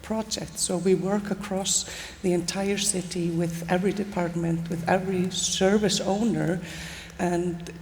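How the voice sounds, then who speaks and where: Gravelly low woman from Iceland. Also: steady tone, measured pace, a little mellow, a little hiss or hum. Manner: hesitant, many fillers. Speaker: panelist, city official. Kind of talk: conference panel talk. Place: large conference stage. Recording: long reverb, faint ambience.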